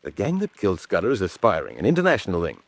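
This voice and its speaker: Casting: determined, polite gentleman fugitive for radio drama; lowish pitch, swooping intonation, clipped delivery. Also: wildly swooping pitch, racing, dry recording, full character voice. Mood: grave, determined. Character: determined, quick-witted gentleman on the run. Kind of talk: old-fashioned radio drama acting.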